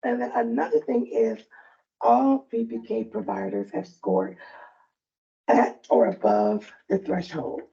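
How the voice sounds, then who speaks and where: A woman with a soft, raspy voice presenting updates at a provider meeting in a friendly, loose way. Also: on the high side, expressive, unhurried, dull audio. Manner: friendly, loose, with frequent fillers. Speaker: early learning coalition staff presenter.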